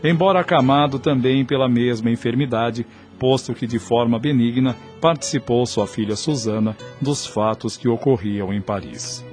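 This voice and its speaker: Male narrator, Brazilian radio serial: scripted storytelling, medium-pitched voice, faint music bed. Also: moderate pace, hardly any pauses.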